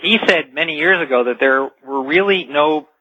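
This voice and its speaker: A man speaking as an expert guest on a radio interview, explaining things in an emphatic, measured way. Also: a little high, bright, forceful tone, no room sound. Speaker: interview guest, technology author. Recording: dull, band-limited radio connection.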